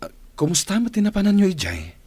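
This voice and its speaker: A man with an Ilocano accent acting a smooth-talking, evasive husband and father in a radio comedy-drama, often stalling. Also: sits high, huge pitch swings, fast talker, warm tone, dry recording, heavily acted. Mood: chatty.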